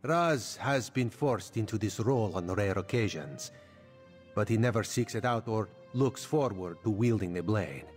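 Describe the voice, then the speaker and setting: An actor playing a solemn cat-man royal agent and executioner in a video game, speaking in a measured, formal way. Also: fairly low pitch, animated, natural voice. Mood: solemn, resolute. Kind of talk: video game character acting. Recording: music behind.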